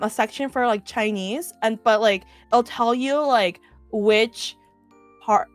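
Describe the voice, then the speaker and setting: A young woman chatting casually, rambling with lots of "like". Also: mid-range voice. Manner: rambling, many fillers and restarts. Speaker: vtuber. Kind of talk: casual chat.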